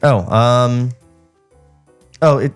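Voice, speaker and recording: A man with a mid-pitched voice co-hosts a podcast. He switches between casual chat and narrating script directions, recorded in a studio with music underneath. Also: steady tone, very slow, clean tone. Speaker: podcast co-host reading stage directions.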